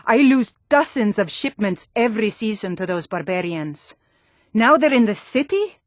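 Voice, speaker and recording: An actress voicing plain-spoken Nord townswomen, guards and traders in scripted fantasy video game dialogue. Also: female voice, mid-range voice, animated, moderate pace, dry recording, clean studio sound, lightly acted. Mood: irritated.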